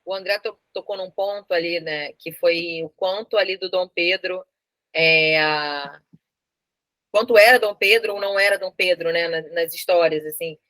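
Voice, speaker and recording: A Brazilian woman casually putting questions as an interviewer in a relaxed discussion. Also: lowish voice, normal speed, no echo.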